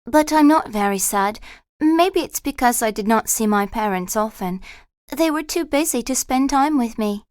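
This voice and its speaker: A man's voice: very high voice, expressive, normal speed, smooth, dry recording, studio quality, voice pushed up. A man voicing a rude, lonely, unhappy young girl in a storybook reading, speaking her blunt inner thoughts. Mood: glum.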